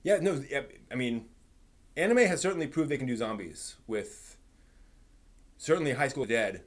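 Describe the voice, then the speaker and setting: A man hosting a casual live stream, thinking aloud in a halting, rephrasing way while giving emphatic opinions. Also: mid-range voice, expressive. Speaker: livestream host. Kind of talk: casual live-stream chat, answering viewers' comments.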